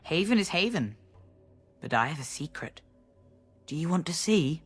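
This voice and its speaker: An actor voicing a creepy, secretive young village boy in a video game, with a soft childlike, singsong and guarded delivery. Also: medium pitch, very animated, moderate pace, husky, faint music behind. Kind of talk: video game character dialogue.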